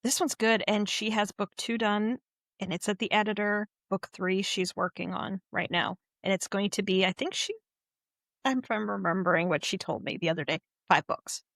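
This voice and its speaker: A woman's voice: medium pitch, moderate pace, gravelly, a bit bright, dry recording, studio quality. A woman hosting a casual podcast chat, eagerly asking questions and laughing easily. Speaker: podcast host.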